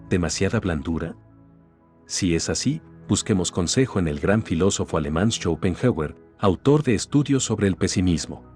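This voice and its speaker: Deep-voiced man reading an audiobook. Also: darkish tone. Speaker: audiobook narrator. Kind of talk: read-aloud self-help narration.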